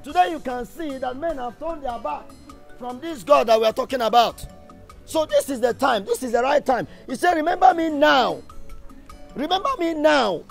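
An actor playing a fervent evangelist, preaching emphatically with rhetorical questions and repetition. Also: male voice, extremely high pitch, vocal fry, heavily acted. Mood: urgent exhortation. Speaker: street preacher. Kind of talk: sermon.

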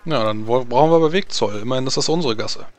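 A man's voice: mid-range voice, quick pace, natural voice. A man voicing a suspicious, wary street thug who questions and challenges people in a tabletop game. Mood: demanding, wary.

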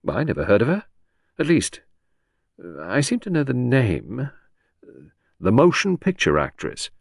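Audiobook role: brisk, scornful young woman secretary, performed by a man, higher lilting delivery, exact and self-possessed. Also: wildly swooping pitch, dry recording, studio quality, exaggerated voice. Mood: astonished. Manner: crisp, precise, prompt, scornful. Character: cool, brusque, faintly scornful young female doctor's secretary. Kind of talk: audiobook character reading.

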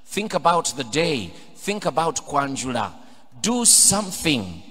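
A middle-aged Ugandan priest preaching a homily from a pulpit microphone, switching between English and Luganda, building to emphatic, loud exhortations. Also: on the high side, big pitch swings, measured pace, slightly rough. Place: large cathedral, indoors at a pulpit. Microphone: pulpit microphone on a stand. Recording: long cathedral reverb.

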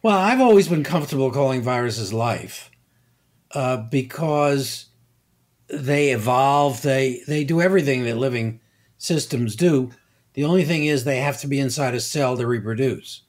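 An older man answering interview questions as a scientist, explaining in a measured, halting way with frequent pauses. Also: medium pitch. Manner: measured, halting, many fillers. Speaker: guest scientist.

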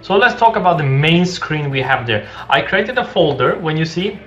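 A young man explains a coding project from a small room indoors, his voice sitting slightly high and his sentences full of restarts and fillers. The sound is dull and lo-fi from a desk mic, with faint background music underneath. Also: expressive, moderate pace, fairly bright tone. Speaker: coding tutorial host. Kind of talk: screen-share coding tutorial.

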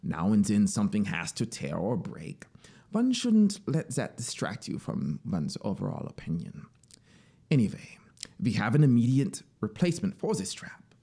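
A man's voice: lowish voice, wildly swooping pitch, normal speed, vocal fry, mellow, husky, full character voice. A man voicing a fanatical officer devoted to his machine, complaining with emphatic indignation in a story reading. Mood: aggrieved, confiding. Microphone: close studio microphone on boom arm.

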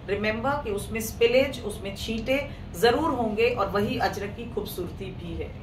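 A woman with a hoarse throat hosting a live clothing sale, chatting casually with viewers in Hindi mixed with English. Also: fairly high pitch, normal speed. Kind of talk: casual live-stream sales talk. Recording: echoey room, mic at a distance, faint noise.

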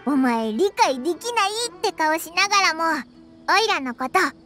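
Squeaky, very high childlike fairy. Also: female voice, expressive, a little fast, bright, forceful tone, soft background music, full character voice. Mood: earnest, coaxing. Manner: cutesy, boastful childlike speech. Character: tiny cheerful childlike floating fairy companion, loves food. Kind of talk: video game voice acting.

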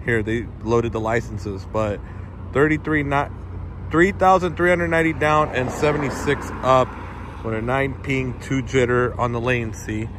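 A man of average pitch talks casually to his viewers, calling them "guys" as he reads numbers off the screen. He is outdoors at night and films on a handheld phone. Passing cars and street noise come through clearly behind him. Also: unhurried. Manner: relaxed, reads out speed test numbers, addresses viewers as guys. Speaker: tech vlogger testing phone networks. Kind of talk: casual on-the-spot commentary to viewers. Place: outdoors on a city street at night near a stadium. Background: passing traffic and street ambience.

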